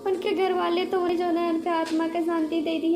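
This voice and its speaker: A woman's voice: extremely high pitch, little pitch movement, brisk. A woman voicing an aggrieved female witch ghost in a cartoon comedy, speaking emphatically and repeating words for force. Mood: aggrieved, pleading. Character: aggrieved female witch ghost, murdered before her wedding. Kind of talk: animated comedy cartoon voice acting in dialect.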